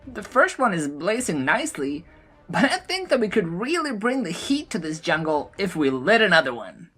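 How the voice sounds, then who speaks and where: A mischievous male gnome from a fantasy audio drama, acted out by a woman. The voice is pitched up high and swings about with cocky glee. It taunts like a delighted arsonist, with music underneath. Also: very animated, normal speed, fairly bright tone, breathy edge, full character voice. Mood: gleeful, taunting.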